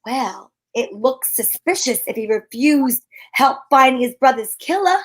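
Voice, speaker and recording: A woman playing a sharp-witted, wisecracking private detective in an old-time radio drama, talking in breezy slang. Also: sits high, animated, unhurried. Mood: wry, explaining.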